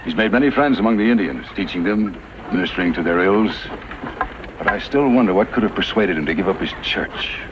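A man playing a gentle, dignified middle-aged reverend in a 1950s radio western, in a genteel, thoughtful manner. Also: low voice, lively intonation, fairly quick. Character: gentle, dignified reverend, father of grown daughter. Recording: very noisy, dull 1950s radio recording.

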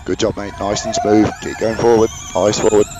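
A man's voice: lowish voice, little pitch movement, normal speed. A man working as a race engineer, giving encouraging, repeated instructions over team radio. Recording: noisy race radio, vehicle noise behind.

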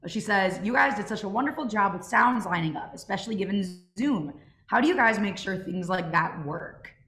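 A woman's voice: medium pitch, animated, moderate pace, a bit bright. A young woman casually moderating a question and answer session over a video call. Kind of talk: casual hosting of a Q&A. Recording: roomy, noisy video call.

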